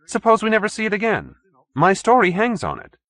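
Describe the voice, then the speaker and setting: A man's voice: high-pitched, huge pitch swings, brisk, fairly bright tone, no room sound, voice pushed up, full character voice. A man voicing a brash, cynical newspaperman who talks in slangy, indignant wisecracks in an audiobook story.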